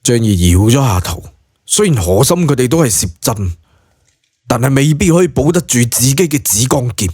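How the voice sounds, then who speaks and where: Lively Hong Kong Cantonese male narrator. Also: mid-range voice, moderate pace, husky, no room sound, clean studio sound. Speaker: audiobook narrator. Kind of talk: dramatised scripted narration of a web novel.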